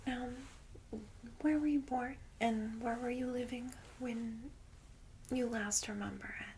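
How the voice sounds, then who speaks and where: ASMR roleplay: woman playing a kindly vampire mentor, whispered and breathy, very even delivery, lightly high, gentle and reassuring. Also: a little slow, slight background noise. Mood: curious, friendly. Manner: gentle, reassuring, hesitant asides. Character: friendly female vampire guide, centuries-old, caring and reassuring.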